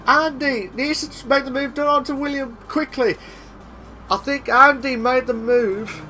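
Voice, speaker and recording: A young man doing live sim racing commentary, excitable and repetitive, with a voice he says he is losing. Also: very high voice, unhurried, slight background noise. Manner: excitable, repeats himself, shouts at big moves. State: losing his voice.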